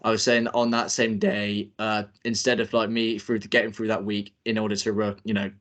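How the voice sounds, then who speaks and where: A young student director plans a shoot in a casual call. He stumbles through fillers and restarts. His voice sits a little low. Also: little pitch movement, a little fast, fairly bright tone. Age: young adult. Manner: hesitant, full of fillers and restarts.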